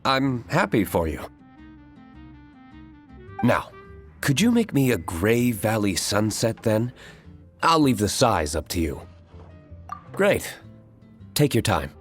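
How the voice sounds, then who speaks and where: A man's voice: fairly low pitch, big pitch swings, takes it slowly, long pauses. A man voicing a reserved young male tavern owner, composed and curt. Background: faint background music.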